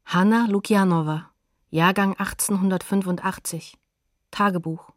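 A woman's voice: mid-range voice, fairly even intonation, normal speed, dry recording, clean studio sound, natural voice. A woman as a neutral announcer of sources and dates in a radio docudrama, factual in manner.

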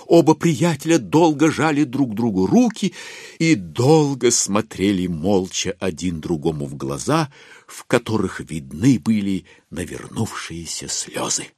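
An actor as a wry narrator, reading a classic novel as dramatic literary storytelling. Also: male voice, on the low side, big pitch swings, a little slow, dark tone, slightly rough, no echo, clean studio sound, heavily acted. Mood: amused, measured.

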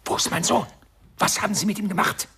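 An actor playing a brusque, defensive, well-off father in a radio crime drama, with a creaky voice and a tightly controlled manner. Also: high voice, fairly even intonation, fast talker, background noise. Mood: alarmed. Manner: brusque, hesitant under pressure.

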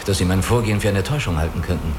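An actor in a radio drama playing a guarded, analytical spaceship crewman who speaks deliberately and reasons step by step. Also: sits low, noisy background, lightly acted. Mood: probing, calculating. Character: guarded, analytical male spaceship crewman hiding his identity. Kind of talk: German radio play dialogue.

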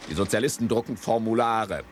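An actor playing a cocky, persuasive commodities broker in a patronising, grandiose manner, in a 1970s German radio play. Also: male voice, on the low side, fairly quick, slight background noise, lightly acted. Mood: sardonic, conspiratorial. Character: slick, cocky male commodities broker talking up quick riches.

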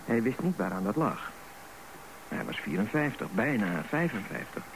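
Low-voiced male narrator, noisy recording. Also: very animated, normal speed. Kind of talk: scripted radio drama narration.

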